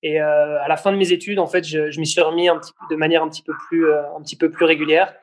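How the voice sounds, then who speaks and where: Guy in his 20s, kinda flat even tone, lots of ums and restarts. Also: a little high, quick pace, slight background noise. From Belgium. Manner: hesitant, many fillers and restarts. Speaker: guest, brand founder. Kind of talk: casual interview answers.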